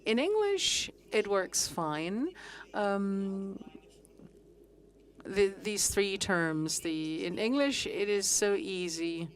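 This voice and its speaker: A middle-aged woman giving a conference presentation, speaking haltingly with frequent pauses and fillers. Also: mid-range voice, expressive. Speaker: conference speaker on fitness training.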